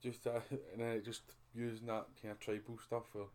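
A man with a Scottish accent hosting a casual podcast, talking in a relaxed, conversational way that often drops to a mutter. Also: fairly low pitch, brisk. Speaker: podcast host. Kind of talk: casual chat.

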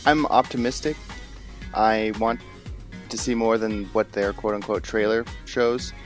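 A man's voice: fairly low pitch, steady tone, a little slow, faint music behind. A man co-hosting a podcast, talking in a casual, conversational way. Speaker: podcast co-host. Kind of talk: casual chat.

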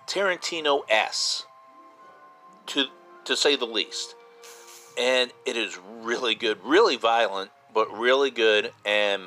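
A man's voice: lowish voice, bright, forceful tone. A man in his fifties hosting a casual solo podcast, chatting like an enthusiastic fan and repeating himself for emphasis. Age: 50s. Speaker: podcast host.

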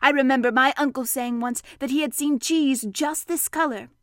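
A woman acts out a gentle male bear in a children's storybook. He is naive and slow-thinking, drawing out his words as he ponders. The recording is dry and free of room sound. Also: sits high, no echo. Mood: musing. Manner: pondering, hesitant, drawn-out.

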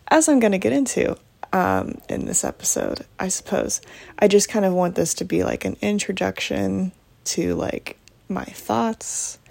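A woman in her twenties hosting a casual solo podcast from her bedroom late at night, talking low and hushed with lots of ums and restarts. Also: creaky. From United States. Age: 20s. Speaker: podcast host.

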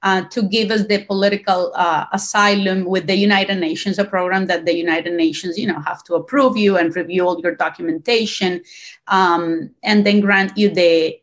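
A middle-aged woman, a native Spanish speaker with a Latin American accent, giving a personal account in an interview, earnestly and haltingly as she searches for words. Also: fairly low pitch, steady tone. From Cuba, grew up partly in Costa Rica. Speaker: interview guest.

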